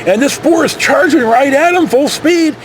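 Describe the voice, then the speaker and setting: A man's voice: extremely high pitch, normal speed, a little hiss or hum. An American man from California tells a casual, rambling anecdote in a folksy, digressive, mildly profane way. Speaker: storytelling vlogger.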